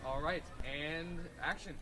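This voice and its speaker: A man playing an easygoing amateur film director giving brisk, casual instructions on set in a horror short. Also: a little high. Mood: casual, businesslike. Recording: noisy, quiet amateur camcorder audio.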